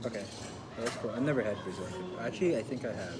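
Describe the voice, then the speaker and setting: A man's voice: lowish voice, expressive, quick pace, very noisy. A man hosting a casual meal chat, laid-back with short replies and frequent laughs. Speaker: host. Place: restaurant table. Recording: loud background music.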